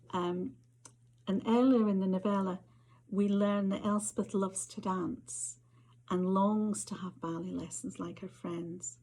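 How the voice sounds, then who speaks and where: A woman with a Scottish accent reading short stories aloud as an author, her voice husky. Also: mid-range voice, darkish tone. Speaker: author reading their fiction.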